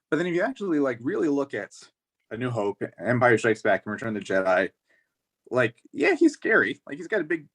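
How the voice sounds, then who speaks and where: A man's voice: mid-range voice, fairly bright tone. A man talking casually as a podcast panelist, working through his points in a hesitant, circling, analytical way. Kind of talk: casual discussion of a TV series.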